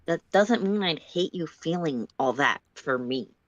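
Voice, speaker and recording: Woman reading as a blunt thoughtful guy in a story, low voice, slow and careful, kinda muffled call audio. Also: expressive, no room sound. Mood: earnest, insistent. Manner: slow, deliberate delivery. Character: Solix, blunt male character, thoughtful and stubbornly caring. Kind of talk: dramatic fan fiction reading.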